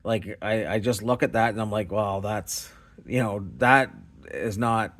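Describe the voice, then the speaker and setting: A man's voice: on the low side, fairly even intonation, a little slow, a bit bright. A Canadian man talking in a casual, unscripted vlog style, rambling and hesitant in a self-effacing way. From Canada, small-town Ontario. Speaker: scrap recycling vlogger.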